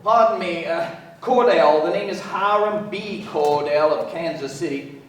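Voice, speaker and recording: A man's voice: on the high side, a little slow, recorded from a distance, noisy background, natural voice. An actor playing a brash, folksy American businessman in a radio play, talkative and slangy. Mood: genial, introducing himself. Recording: very echoey room, off mic.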